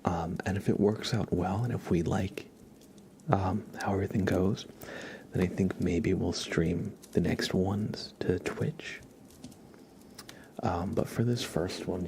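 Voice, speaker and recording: A man hosting an ASMR livestream, talking to viewers in a soft, hushed, gentle and halting way. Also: sits low, gravelly, a little hiss or hum. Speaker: live streamer, ASMR host.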